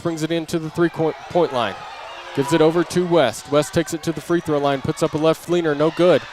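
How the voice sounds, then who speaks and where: A man with a fairly high voice calls a basketball game live. He speaks in clipped, present-tense bursts as the play moves, like a radio play-by-play announcer. The recording is a noisy broadcast from the gym. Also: fairly even intonation, brisk. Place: indoor gymnasium during a basketball game.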